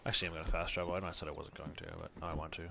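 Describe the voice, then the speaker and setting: A young man streaming a game, chatting casually with his viewers in a loud voice that carries. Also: rumbling low, racing, background noise. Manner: chatty, relaxed, answers chat. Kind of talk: casual livestream chat. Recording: game sounds clearly behind.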